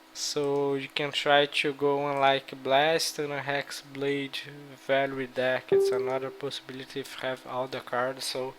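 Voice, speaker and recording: A man's voice: mid-range voice, fairly bright tone, slight background noise, low-quality recording. A man from Brazil with a Brazilian accent, casually giving card game strategy advice with loose, rambling speech full of fillers. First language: Portuguese. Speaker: gaming video creator. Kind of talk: casual gaming strategy talk.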